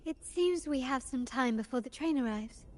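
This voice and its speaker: A woman playing a poised, cultured and enigmatic young lady in a video game, speaking formally and with measured eloquence. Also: sits high, lively intonation, slight background noise. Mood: polite, intrigued. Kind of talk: scripted video game dialogue.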